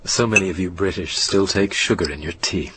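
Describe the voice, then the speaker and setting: A man playing a formal, careful psychotherapist who probes with measured pauses in a naturalistic radio drama. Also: low voice, very noisy, lightly acted. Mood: bemused, polite. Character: measured, probing American male psychotherapist. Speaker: actor in a radio play.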